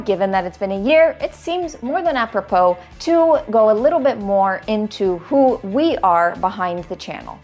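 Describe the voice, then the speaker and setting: A woman in her thirties from California with an American accent, presenting her channel in a clear, measured, friendly way. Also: on the high side. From California, USA. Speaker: co-host, wine presenter. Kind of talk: scripted presentation to camera.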